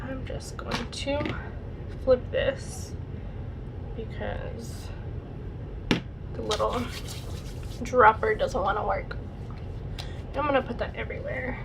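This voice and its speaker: A young woman vlogger chatting casually through a makeup routine, her voice a bit raspy and her nose congested from a cold. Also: fairly high pitch, takes it slowly, long pauses, background noise. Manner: chatty, rambling, lots of "like" and "super". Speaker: beauty vlogger. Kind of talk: casual makeup tutorial chat.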